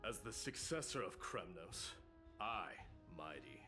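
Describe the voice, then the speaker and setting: A proud, gruff prince of a warlike kingdom, speaks in a low register with blunt, haughty formality. It is dramatic video game dialogue with prominent music behind it. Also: male voice, very animated, measured pace. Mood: stern, defiant. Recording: loud music underneath.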